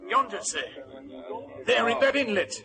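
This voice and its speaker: An actor playing a wily old ship's cook with a gravelly voice, fawning and menacing by turns, in an adventure drama. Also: male voice, very high-pitched, animated, unhurried, crowd noise, exaggerated voice. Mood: ingratiating. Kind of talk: vintage adventure radio drama acting.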